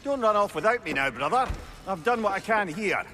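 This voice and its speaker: A man's voice: high-pitched, animated, fairly quick, sharp tone. A man playing a genial, talkative and wry companion who advises a hero in a video game. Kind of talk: video game character acting.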